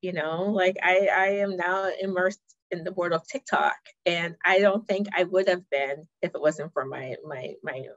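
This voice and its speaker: Podcast guest, woman in her 30s, low voice, chatty with fillers, dry and a bit dull sound. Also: normal speed, no echo. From Ghana. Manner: chatty, frequent fillers and restarts. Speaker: marketing director, podcast guest. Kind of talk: casual interview chat.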